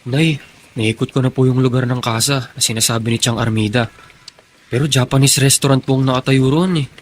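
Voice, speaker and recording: A man acts a dutiful young son in a radio drama. His medium-pitched voice moves quickly and stays fairly flat, polite and earnest. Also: slight background noise, natural voice. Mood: earnest, worried. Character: dutiful, respectful young son reporting to mother. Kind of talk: Filipino radio drama acting.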